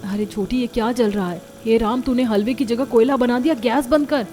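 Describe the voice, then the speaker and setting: A woman voicing a gentle, dutiful elder daughter-in-law of a village family in a cartoon story, homely and helpful. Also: a little high, a little fast, close to an everyday voice. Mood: concerned, reassuring. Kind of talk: Hindi cartoon story dialogue.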